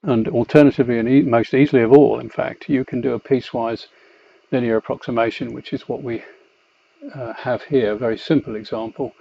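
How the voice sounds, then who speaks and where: A man explaining a technical software demonstration in a methodical, hesitant way, pausing as he goes. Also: mid-range voice, steady tone, gravelly, slightly rough. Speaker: company executive presenting a product tutorial. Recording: slightly dull, muffled lo-fi voice track.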